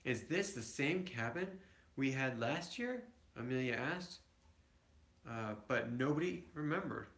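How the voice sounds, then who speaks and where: A man reads a picture book aloud in an echoey room, in a mid-pitched voice. He stumbles slightly now and then and drops into casual explanations, like a father reading to his children at home. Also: a bit bright. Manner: halting, with small stumbles and asides to children. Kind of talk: read-aloud storytelling with casual asides.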